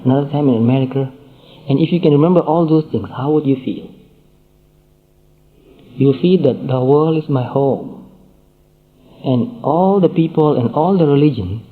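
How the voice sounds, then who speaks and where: A man giving a Buddhist dharma talk, speaking in measured phrases with frequent pauses and repetition. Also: mid-range voice. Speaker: Buddhist teacher. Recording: tinny phone-like sound.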